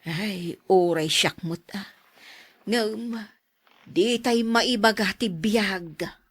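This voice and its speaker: A woman playing a resigned, ageing mother in a radio drama, with a husky voice. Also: medium pitch, extremely slow, no room sound. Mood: wistful. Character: resigned, ageing mother sighing over life.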